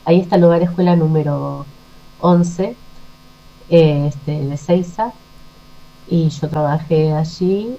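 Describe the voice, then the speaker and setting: A very mellow, somewhat low-voiced Argentine woman talks slowly and reflectively, as a guest in an interview. She pauses to find her words. The recording is muffled, with a faint mains hum behind her. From Argentina, Buenos Aires province. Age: middle-aged. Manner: unhurried, pausing, searching for words. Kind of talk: reflective conversational interview answers.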